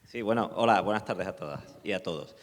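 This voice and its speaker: A man's voice: low-pitched, brisk. A man, a sound technician, speaking informally and explaining things at a presentation. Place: large indoor hall at a public event. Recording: echoey hall, long reverb.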